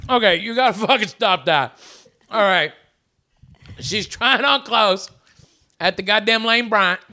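A woman hosts a podcast with a bright, forceful, brassy voice. She swears constantly and spins wild exaggerations, laughing as she goes. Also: medium pitch, expressive, a little slow. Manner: profane, brassy, exaggerating, laughing through lines. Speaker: podcast host. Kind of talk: crude comic riffing.

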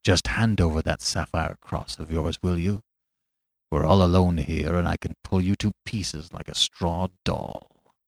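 Big master thief, played by a man, deeper voice, menacing to gloating, dry studio read. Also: expressive, moderate pace, no echo. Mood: low menacing. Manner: low and menacing, turning boisterous and mocking. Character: great robber, a big bold master thief.

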